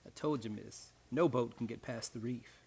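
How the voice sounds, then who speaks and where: A man plays a laconic boatman from an old-time radio drama. He is cryptic and evasive, giving terse, matter-of-fact answers at a middling pitch. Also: moderate pace, darkish tone, breathy edge. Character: cryptic, laconic male boatman rowing a young heiress.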